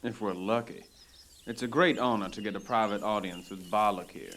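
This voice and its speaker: An actor playing a prim, formal elf guide who speaks in lofty diction. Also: male voice, fairly low pitch, normal speed, a bit bright. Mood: haughty. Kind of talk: audio drama acting.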